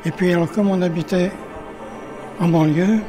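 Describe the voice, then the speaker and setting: A man's voice: high-pitched, steady tone, moderate pace, music in the background. An older man from Paris, interviewed at a cinematheque, talking hesitantly with many restarts and fillers. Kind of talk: casual interview. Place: cinematheque in a city.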